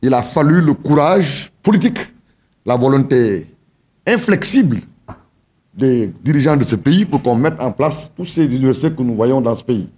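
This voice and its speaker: A man's voice: medium pitch, lively intonation, moderate pace, dark tone. A man, a member of parliament, giving a formal speech with stretched emphatic words and some restarts.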